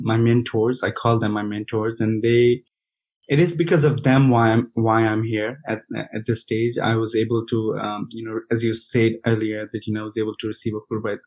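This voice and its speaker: A man's voice: on the low side, a little mellow. Hesitant man from India, guest. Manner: hesitant, many restarts and fillers. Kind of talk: conversational interview answers.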